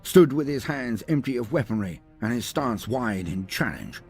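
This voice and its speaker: A man's voice: mid-range voice, expressive, moderate pace, a little mellow, soft background music. A man reading scripted fantasy storytelling in a theatrical, grandiloquent manner with wry asides. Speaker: lore video narrator. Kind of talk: dramatic scripted story narration.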